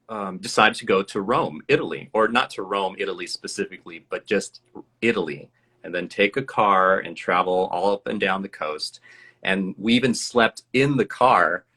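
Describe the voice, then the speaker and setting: A man with a lowish, bright and forceful voice tells a personal story as a guest in a live interview. He speaks reflectively and earnestly and breaks into laughter now and then. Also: slightly rough. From USA.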